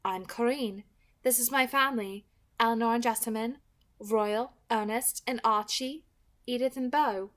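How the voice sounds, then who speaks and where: A woman's voice: a little high, measured pace, fairly bright tone, pitched above the performer's own voice. A woman voicing a courteous, welcoming female head of a vampire family in a read-aloud novel. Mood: polite, welcoming.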